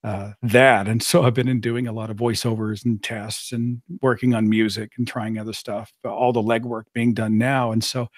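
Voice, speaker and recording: A middle-aged man with a raspy voice, hosting a casual live-stream chat and rambling along with plenty of fillers. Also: on the low side, flat delivery, moderate pace, hardly any pauses, no room sound, clean studio sound. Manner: rambling, conversational, with frequent fillers. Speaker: podcast host.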